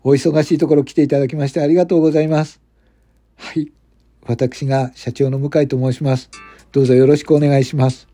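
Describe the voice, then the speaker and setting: A man's voice: fairly high pitch, little pitch movement, quick pace, warm tone, natural voice. A man voicing a young, friendly, unpretentious company president in an amateur radio drama. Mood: friendly, welcoming. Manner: chatty, polite, unpretentious. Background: background music.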